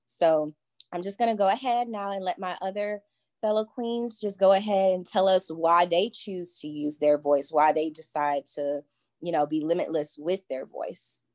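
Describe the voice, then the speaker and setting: Young female podcast host, sincere and measured, lowish voice, dry sound. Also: darkish tone, dry recording. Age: young adult. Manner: earnest, measured, repeats herself to stress points. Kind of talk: podcast introduction.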